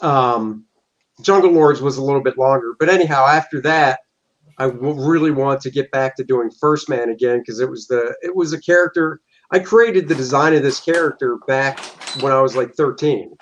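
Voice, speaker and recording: A man's voice: mid-range voice, normal speed, highs cut off. A middle-aged man, a comic book artist, answering interview questions in an easygoing way and explaining at length.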